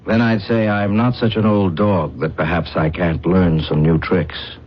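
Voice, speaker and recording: A man's voice: sits low, little pitch movement, unhurried. A man playing a defiant, sardonic, world-weary condemned prisoner with formal, insolent speech in a radio drama. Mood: wry, wary. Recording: dull, noisy old radio broadcast.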